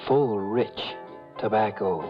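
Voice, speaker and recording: An American man reading a 1950s radio advertisement as an announcer, with measured, emphatic salesman delivery. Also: lowish voice. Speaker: commercial announcer. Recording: muffled old radio recording, music behind.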